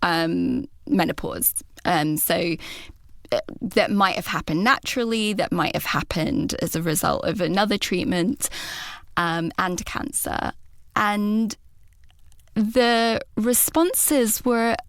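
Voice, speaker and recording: A woman hosting an interview who talks in a thoughtful, personal way, with hesitations and soft laughs. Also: lowish voice, slow, no echo, clean studio sound. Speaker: host. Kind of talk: reflective conversational interview talk.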